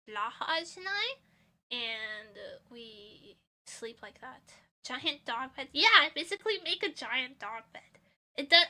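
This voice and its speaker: A young woman from Portugal chats casually with her stream viewers, giggling often. Her voice sits high and sounds very bright and sharp. She swings from loud excited bursts to quiet mumbles. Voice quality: giggly, chatty, drifts between loud bursts and soft mumbles. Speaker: vtuber streamer. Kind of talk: casual stream chat answering chat.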